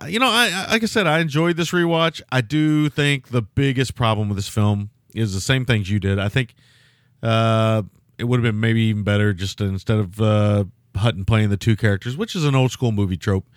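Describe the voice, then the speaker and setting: A man with a loud, low voice talks through a movie in relaxed podcast chat. He rambles, doubles back and fills gaps with ums and uhs. The sound is dry, with no room in it. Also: brisk, no room sound. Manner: rambling, many fillers and restarts. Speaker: podcast co-host. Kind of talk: casual film-review chat.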